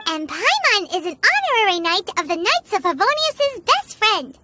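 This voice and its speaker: A tiny, eager fairy companion for a video game. The voice is extremely high and childlike, with a bubbly, blunt delivery over faint music. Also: female voice, very animated, moderate pace, pushed and bright, full character voice. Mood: matter-of-fact. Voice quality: squeaky, childlike. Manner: bubbly, speaks of self in third person. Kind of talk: video game character acting. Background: background music.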